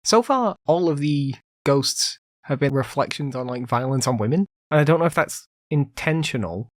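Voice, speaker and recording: A man's voice: medium pitch, expressive, normal speed, no room sound, clean studio sound. A man hosting a casual solo podcast, rambling conversationally with lots of fillers, still recovering from an illness. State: recovering from illness, voice occasionally cutting out. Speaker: podcast host.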